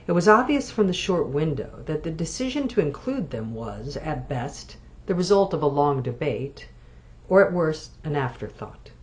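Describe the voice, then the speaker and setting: A woman author reading literary fiction aloud, measured and expressive. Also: low voice. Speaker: author reading from own novel.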